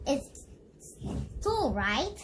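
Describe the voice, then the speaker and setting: A child host reading an educational picture book aloud in a deliberate, instructive way, speaking directly to viewers. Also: sits high, very animated, fairly bright tone, soft background music.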